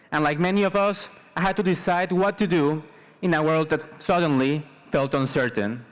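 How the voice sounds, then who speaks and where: A young man in his twenties from Mexico, giving a formal prepared commencement speech as a student, in measured short phrases. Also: a little high. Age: 20s. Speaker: student salutatorian.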